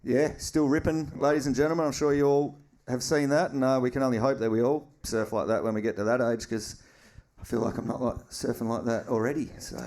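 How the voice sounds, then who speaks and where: A man's voice: mid-range voice, steady tone, a little fast, darkish tone. A man hosting a live podcast, chatty and teasing in slangy banter. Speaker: podcast host.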